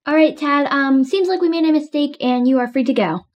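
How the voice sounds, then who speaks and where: A woman's voice: high-pitched, brisk, a little mellow, dull audio, close to an everyday voice. A woman playing a polite, matter-of-fact police officer in an improvised game roleplay. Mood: stern.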